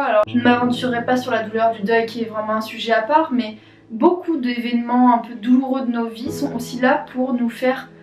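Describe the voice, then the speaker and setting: A woman who works as a clinical psychologist, telling a story gently and thoughtfully with many fillers as she hosts her own video. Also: on the high side, reverberant, far from the mic. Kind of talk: storytelling to camera.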